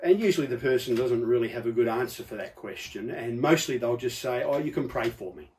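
A man in his fifties gives a conversational sermon in a mellow, relaxed voice. He explains each point with deliberate stresses and pauses. The microphone sits a little way off from him. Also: medium pitch. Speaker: preacher. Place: book-lined study or office indoors. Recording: slightly distant microphone.

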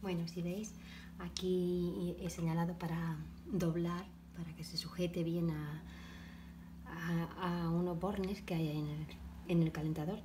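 Brief: lower-pitched woman explaining a DIY build while working, halting, echoey home room with noise. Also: flat delivery. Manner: halting, talks while working, frequent restarts and soft asides. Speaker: DIY video maker. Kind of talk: step-by-step craft demonstration. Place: home kitchen, indoors.

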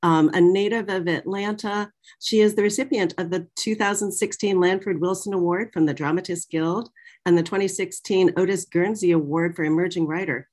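A middle-aged woman moderating an online talk from a small office, in a conversational, animated manner. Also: lowish voice, normal speed. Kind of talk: prepared introduction and conversational interview. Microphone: video call.

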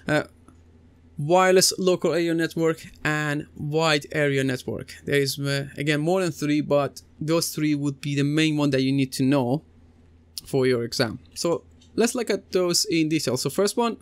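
Man teaching a lesson, clear dry sound. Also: on the high side, no echo. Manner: conversational, many uh fillers and restarts. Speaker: computing teacher. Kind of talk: recorded lesson over slides. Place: small indoor room, seated at desk.

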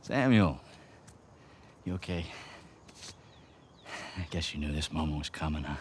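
An actor playing a smug, taunting American villain in a video game cutscene, with theatrical sarcasm. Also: male voice, a bass voice, measured pace, slight background noise. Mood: mocking. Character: smug, mocking male villain, rich treasure hunter.

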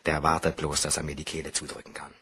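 Deep-voiced, nervy bank cashier. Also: male voice, monotone, fast talker, bright, forceful tone, breathy edge, exaggerated voice. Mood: worried, bitter. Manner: nervy, brooding. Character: anxious, irritable bank cashier with a guilty secret. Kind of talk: German crime radio play acting.

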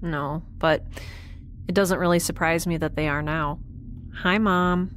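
Audio drama lead woman actor: thoughtful young female scientist in space, slightly low voice, conversational, light background noise. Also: fairly even intonation, a little slow, lightly acted. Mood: subdued, sincere. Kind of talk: naturalistic audio drama acting.

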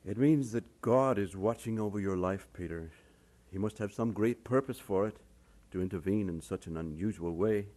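Man playing a grave, mellow, low-voiced minister. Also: moderate pace, natural voice. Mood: solemn, fatherly. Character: grave, kindly Scottish minister mentoring a youth. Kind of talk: audio drama acting.

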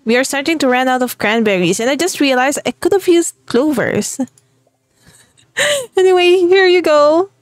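Cheerful Filipino woman with a bright voice. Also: high voice, normal speed, dry recording, studio quality. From Philippines. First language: Tagalog. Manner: cheerful and chatty, laughs often. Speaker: gaming channel host. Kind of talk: let's play commentary.

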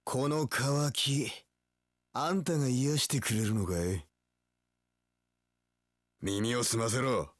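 An actor playing a cocky, cool young samurai warlord in a video game, with rough tough-guy speech and English catchphrases. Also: mid-range voice, slow pace, long pauses, dry recording, studio quality. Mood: cocky, taunting. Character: cocky, cool young male samurai warlord, swaggering one-eyed dragon. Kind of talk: video game battle voice lines.

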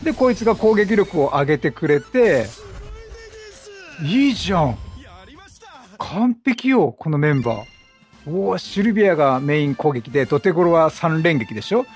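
A man doing chatty game commentary, animated, talking to himself and to the characters on screen. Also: a little high, normal speed, pauses often, faint music behind. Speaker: gameplay commentator.

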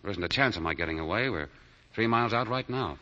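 An actor playing a cool, wry convict with a laconic manner in 1940s radio style. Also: male voice, lowish voice, animated, quick pace, pushed and bright. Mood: wry, resigned. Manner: laconic, understated. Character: cool, wry, smooth-talking condemned convict. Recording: dull 1940 radio broadcast recording.

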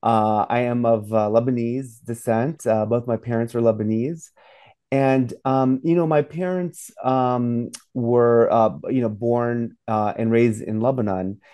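A man's voice: mid-range voice, fairly even intonation, a little slow, mellow, smooth. A man in his forties, an author and professor, answering interview questions hesitantly with many fillers over a video call from a home office. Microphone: video call webcam.

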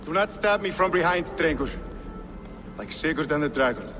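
An actor playing a nervous, eager middle-aged Norse outcast trader in a video game, jittery and fawning, repeating himself in clipped phrases. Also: male voice, a little high, expressive, moderate pace, over music, lightly acted. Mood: nervous, wary. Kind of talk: video game cutscene acting.

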